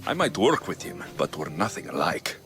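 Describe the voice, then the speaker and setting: An actor playing a gruff, honest and weary mercenary with a dry, sardonic delivery in a video game. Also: male voice, sits low, very animated, normal speed, music in the background. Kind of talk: video game cutscene acting.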